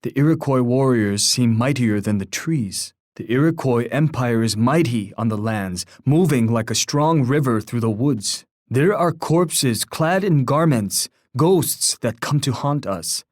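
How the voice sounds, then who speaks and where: A man's voice: medium pitch, animated, a little mellow, no room sound, studio quality, close to an everyday voice. A man playing a solemn, dignified war leader. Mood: wary, grave. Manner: solemn, measured, oratorical, formal poetic phrasing. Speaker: game character voice. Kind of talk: scripted video game voice lines.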